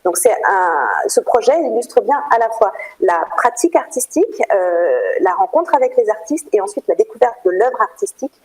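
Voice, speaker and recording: A Frenchwoman speaks as a guest in a radio interview, with a mellow, relaxed timbre at an average pitch. She explains things earnestly in developed answers. Hesitant pauses break up her phrases as she searches for the next point. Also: low-quality recording. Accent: French from France. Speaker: interview guest, cultural programme manager at a theatre.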